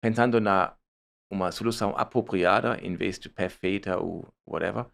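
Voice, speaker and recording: A man's voice: low-pitched, normal speed, vocal fry, a bit bright. A man from Germany speaking with a German accent, a startup founder answering interview questions in a hesitant way, often repeating words and mixing in English. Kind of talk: casual interview talk.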